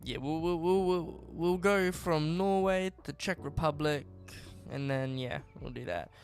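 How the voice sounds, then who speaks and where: A man's voice: fairly high pitch, unhurried, faint music behind. A man with an Australian accent chatting casually and rambling on a live game stream, often trailing off and restarting. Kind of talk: casual live-stream chat while driving.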